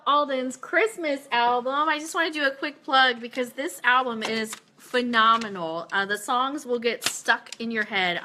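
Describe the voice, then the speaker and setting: A woman's voice: a little high, sharp tone, a little hiss or hum. A woman hosting a casual fan radio show, talking in an enthusiastic, informal way as she plugs things.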